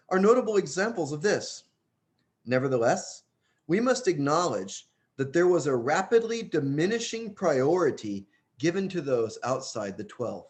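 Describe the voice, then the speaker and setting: A man with a slightly high-set voice reads a religious book aloud. His delivery is earnest and emphatic, with lively rises and falls in pitch as he stresses key phrases. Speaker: audiobook reader.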